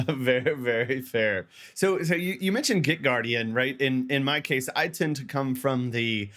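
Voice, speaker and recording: A man's voice: medium pitch, unhurried, fairly bright tone. A man hosting a casual podcast interview, rambling and repeating words, joking at his own expense. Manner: rambling, repeats words, jokey and self-deprecating. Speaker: podcast host.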